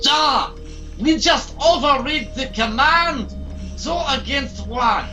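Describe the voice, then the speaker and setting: A woman's voice: high voice, expressive, slow, pushed and bright, soft background music, heavily acted. A woman playing a gleeful, bratty dictator in a robot body with a put-on German accent, in a comic audio drama.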